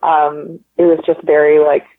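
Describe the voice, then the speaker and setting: A woman's voice: sits low, level tone, unhurried. Guest: woman, chatty and rambling, phone-line audio. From Canada. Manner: rambling, many likes and ums, upbeat. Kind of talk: casual conversational interview.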